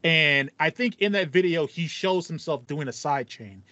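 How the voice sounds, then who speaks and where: Young guy podcasting, kinda high voice, acts out his stories, dry slightly muffled sound. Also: lively intonation, moderate pace, pushed and bright, dry recording. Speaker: podcast host. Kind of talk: casual chat. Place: small home room with foam panel, indoors.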